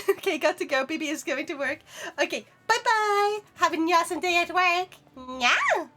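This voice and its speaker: A woman's voice: very high voice, expressive, normal speed, pushed and bright. A young woman with a Swedish accent, chatting playfully with her viewers while streaming a game. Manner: chatty and playful, talking to chat while playing. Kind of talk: casual gaming livestream chat.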